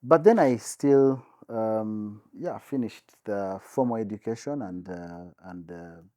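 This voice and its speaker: A man's voice: fairly low pitch, slow pace, dry recording. Ugandan guy chatting easy as a guest, lots of ums, dry close sound. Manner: relaxed, laughs often, many fillers. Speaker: guest, advertising CEO. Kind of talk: casual interview chat.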